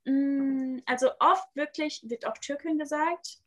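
A young woman with a fairly high voice chats casually in a group. She grew up in Germany with Spanish and Italian as first languages, talks in youth slang, acts out little quotes and keeps checking that the others follow her. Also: fairly even intonation, a little slow. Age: young adult. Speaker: participant in a group talk.

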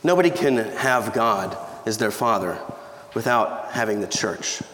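Scratchy-voiced pastor in an echoey church. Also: male voice, lowish voice, expressive, measured pace. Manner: conversational, humorous, with restarts. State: scratchy voice from allergies. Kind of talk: sermon. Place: church sanctuary. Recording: echoey church hall with reverb.

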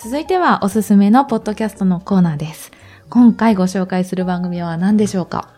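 A woman's voice: medium pitch, expressive, quick pace, mellow, soft background music. A woman co-hosting a podcast, talking in a polite, reflective, conversational way. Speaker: podcast co-host.

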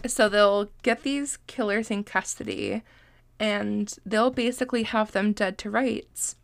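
A woman's voice: mid-range voice, lively intonation, measured pace, creaky, no echo. A woman in her twenties from Massachusetts, stuffed up and sniffly from allergies, hosting a casual podcast in a chatty, self-deprecating way and swearing freely. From Massachusetts, USA. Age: 20s. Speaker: podcast host. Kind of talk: casual solo podcast chat.

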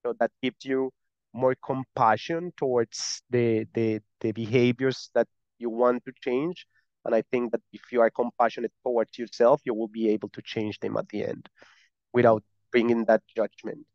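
A man's voice: medium pitch, little pitch movement, normal speed, no echo, lo-fi sound. A man with a strong Spanish accent and Spanish as his first language, explaining earnestly as an interview guest, often repeating words as he goes. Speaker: guest, breathwork and somatic practitioner.

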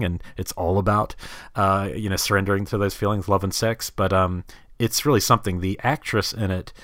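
A man from New Zealand with a deep voice hosts a casual film podcast. He thinks aloud in a rambling, halting way. The sound is very dry, with no room echo. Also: a bit bright.

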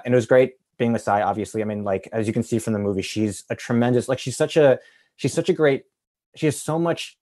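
A man's voice: on the low side, darkish tone. A man on a film panel telling a story in a loose, rambling way, filling his talk with "like". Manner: rambling, heavy use of "like". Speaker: actor on a panel. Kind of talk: casual storytelling.

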